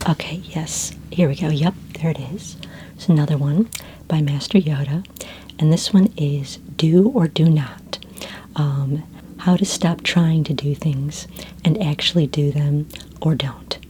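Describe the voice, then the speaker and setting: A woman speaking in a soft, breathy near-whisper, gently and unhurriedly playing a friendly, helpful librarian in an ASMR roleplay. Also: low voice, a little slow, a little hiss or hum.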